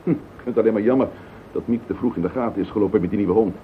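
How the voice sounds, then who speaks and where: An actor playing a callous, scheming murderer in a radio play, blunt and cynical, with a gravelly voice. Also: male voice, fairly low pitch, very fast, lightly acted. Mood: defiant. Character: callous scheming wife-murderer confessing without remorse. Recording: tinny, noisy old radio play recording.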